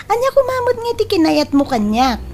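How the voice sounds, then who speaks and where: An actress with an Ilocano accent playing a coy, teasing young woman in a radio drama, with playful, drawn-out delivery. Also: extremely high pitch, sing-song, background noise, full character voice.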